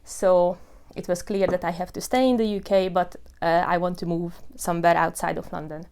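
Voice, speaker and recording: Woman, thirties, lowish smooth voice, earnest testimony, leans on "you know" and "uh". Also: fairly even intonation, moderate pace, darkish tone. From Hungary. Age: 30s. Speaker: tenant telling her story. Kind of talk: personal testimony.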